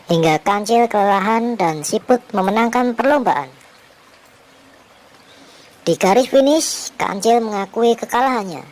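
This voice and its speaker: A woman's voice: medium pitch, animated, measured pace, pauses often, a little mellow, low-quality recording. Woman narrating a children's story.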